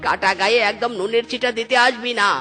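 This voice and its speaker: A man's voice: very high-pitched, fairly even intonation, fast, bright, forceful tone. A man voicing a miserly, suspicious, irritable old man in a comic cartoon, exclaiming and grumbling to himself. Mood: angry. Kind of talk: Bengali comic cartoon dialogue. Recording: music bed behind the voice.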